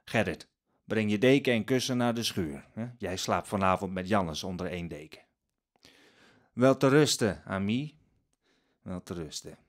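A man's voice: lowish voice, expressive, moderate pace, pauses often, natural voice. Man acting a blunt, wary peasant father. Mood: firm, blunt. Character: poor, wary, plain-spoken peasant father of a family. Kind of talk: radio drama acting.

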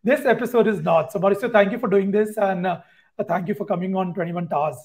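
A man in his thirties hosting a podcast interview from a room at home over a video call, speaking in a measured way. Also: high-pitched, a little mellow. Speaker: podcast host. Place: home room indoors at night.

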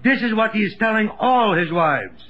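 A man's voice: high voice, animated, normal speed. A man playing a mocking tavern sidekick in a 1950s radio comedy. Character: mocking, deadpan tavern sidekick puncturing a schemer. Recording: dull, hissy 1950s radio recording.

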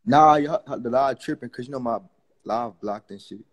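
A man's voice: medium pitch, moderate pace. A laid-back eighteen-year-old man from Houston on a phone video call. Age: young adult. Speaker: livestream guest. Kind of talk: casual livestream chat.

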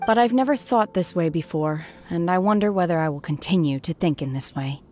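A woman's voice: fairly low pitch, expressive, a little fast, darkish tone, lightly acted. A woman playing a reserved, solemn young woman raised by immortals in an anime game, stoic and formal. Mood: earnest, subdued. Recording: faint game music behind.

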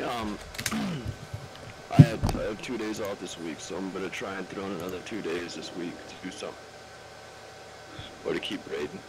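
Casting: male gamer, low register, loose profane slang, organizing a group over a noisy chat line. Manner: laid-back, profane, slangy. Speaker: raid organizer in an online game group. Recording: noisy online gaming voice chat.

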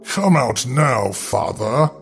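An austere, aristocratic ghoul mother , acted out by a man from a gothic horror tale. She speaks formally and haughtily, with wide pitch movement. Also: lively intonation, takes it slowly, vocal fry, a little hiss or hum. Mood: angry, stern.

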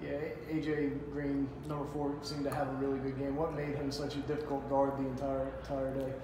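A man's voice: mid-range voice, completely flat delivery, a little fast. A man asking a coach long, rambling questions at a postgame press interview. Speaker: reporter. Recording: echoey room with noise.

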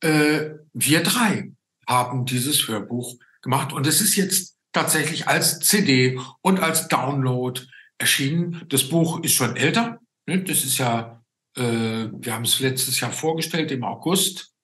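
Male host, sixties, bright forceful tone, halting conversational delivery, echoing room on a video call. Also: mid-range voice. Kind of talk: casual video-call interview.

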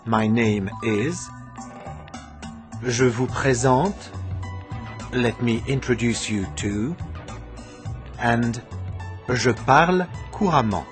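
A man narrating a language lesson in a slow, deliberate, teacherly way. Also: mid-range voice, over music. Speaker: course narrator. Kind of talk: scripted language-lesson voice-over.

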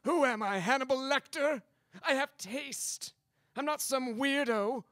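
An actor playing a scheming, haughty crime boss who gives commands, in a comic radio play. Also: male voice, very high-pitched, a little slow, a bit bright, dry recording, clean studio sound. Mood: disgusted. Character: scheming, bossy crime boss with squeamish taste.

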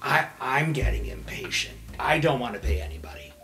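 A man's voice: medium pitch, expressive, fairly quick, pushed and bright. A man playing a tabletop roleplay game, improvising casually. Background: background music.

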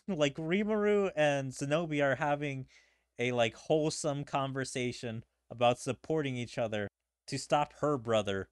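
A man's voice: mid-range voice, moderate pace, clean tone, no echo, clean studio sound. A man giving casual gameplay commentary as a gaming video creator. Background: faint game music.

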